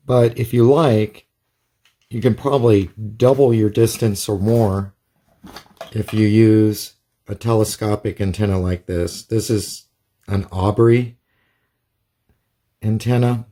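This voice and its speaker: Man, lowish voice, soft-edged and mellow, casual reviewer, methodical step-by-step explaining. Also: fairly even intonation, slow, long pauses. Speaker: product reviewer. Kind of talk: casual hands-on product demonstration.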